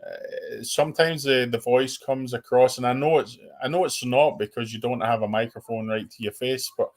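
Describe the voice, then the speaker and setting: Casual male caller, middle pitch, rambling, stumbles over repeated words. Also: moderate pace. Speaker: call-in guest. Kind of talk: casual chat. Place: abroad in the United States. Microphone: call-in line.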